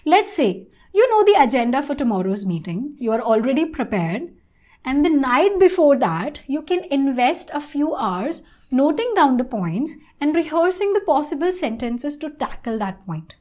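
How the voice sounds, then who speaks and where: A woman presenting an online English lesson in a scripted, instructional style, with deliberate and emphatic phrasing. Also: high-pitched, very animated, darkish tone.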